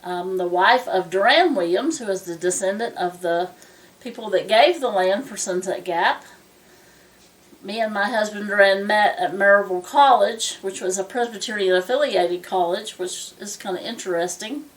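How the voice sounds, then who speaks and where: A woman in her sixties from Ohio, a former teacher, introducing herself in a friendly, measured way. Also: mid-range voice, distant mic, low-quality recording. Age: 60s.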